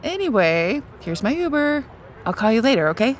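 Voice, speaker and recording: An actress playing an exasperated, practical, wryly reluctant sister in a naturalistic audio drama. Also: female voice, fairly high pitch, very animated, moderate pace. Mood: wry, hesitant. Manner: wry, exasperated, conversational.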